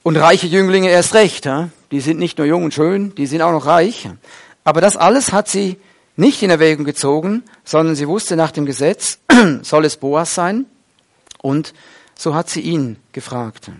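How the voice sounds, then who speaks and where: Man, middle-aged, preacher, earnest, explanatory, slightly high voice, hesitant fillers. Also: normal speed. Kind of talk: sermon, Bible exposition.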